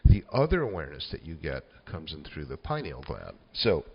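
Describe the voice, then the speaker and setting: A man in his sixties hosting a casual spiritual monologue, musing and unhurried, with many fillers like "you know" and "uh.". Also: rumbling low, wildly swooping pitch, vocal fry, breathy edge. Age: 60s. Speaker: channel host. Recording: echoey, dull lo-fi room sound.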